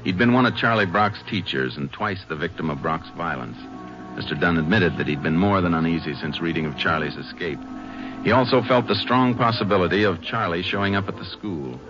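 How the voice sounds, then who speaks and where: An American man acting a calm, methodical Texas Ranger in a 1950s radio drama, speaking in a measured, understated way. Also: low voice, over music, natural voice. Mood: matter-of-fact narration. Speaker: lead actor and narrator. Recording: noisy, muffled old radio broadcast recording.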